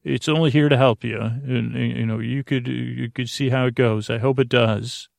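A man with a creaky, gravelly voice talks in a flat, lulling way, like a friendly bedtime podcast host. He meanders, stops and restarts, and drifts off mid-thought. The sound is close and dry. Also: mid-range voice, dry recording. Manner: meandering, halting, repeats and trails off mid-thought. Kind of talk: rambling bedtime monologue to help listeners sleep.

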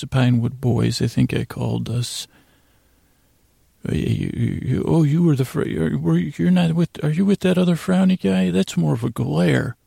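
A man with a gravelly, creaky voice hosting a bedtime podcast, rambling through an improvised monologue in a halting, stammering way and repeating his words. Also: medium pitch, dark tone, no echo, clean studio sound.

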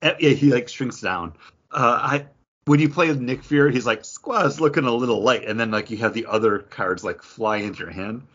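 A man's voice: mid-range voice, moderate pace, fairly bright tone, slightly rough. A man hosting a podcast, chatting casually and enthusiastically about a hobby. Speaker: podcast host. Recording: dull lo-fi audio.

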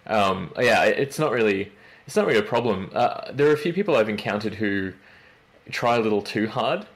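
A man's voice: lowish voice, animated, brisk. Young guy, aussie accent w/ a bit of british, laughs lots, lots of ums. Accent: Australian with slight British tinge. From born in London, raised in Australia. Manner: laughing often, hesitant with many fillers. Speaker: guest. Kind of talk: casual interview chat.

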